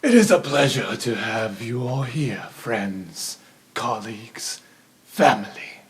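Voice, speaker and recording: A man's voice: mid-range voice, lively intonation, slow. A man voicing a noble father who gives a grand, formal welcome speech at a gala. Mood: ceremonious.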